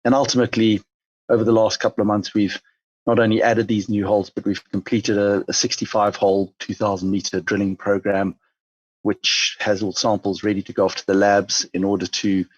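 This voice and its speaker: A man with a Zimbabwean accent talks through a business interview in a low, husky voice. He sounds measured and pauses often on fillers. The recording is dull and dry. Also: flat delivery, no room sound. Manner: measured, many fillers and restarts. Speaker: mining company chief executive.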